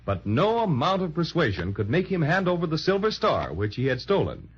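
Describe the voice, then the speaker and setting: Animated, husky 1930s radio storyteller. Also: male voice, fairly high pitch, brisk, exaggerated voice. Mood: dramatic. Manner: dramatic, playful storytelling. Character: lively storybook narrator recapping for children. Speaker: narrator. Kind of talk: 1930s children's radio narration. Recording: muffled 1930s radio transcription recording.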